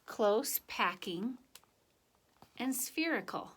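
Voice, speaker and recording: A woman reading a children's picture book aloud, with deliberate, expressive phrasing and conversational asides to young listeners. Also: a little high, slightly rough. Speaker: children's story reader.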